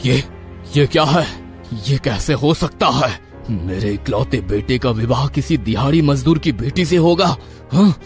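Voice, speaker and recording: A man's voice: mid-range voice, animated, unhurried, soft background music. Man acting as grave, scheming rich astrologer. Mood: shocked. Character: rich, scheming, ruthless male astrologer father. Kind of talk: cartoon story voice acting.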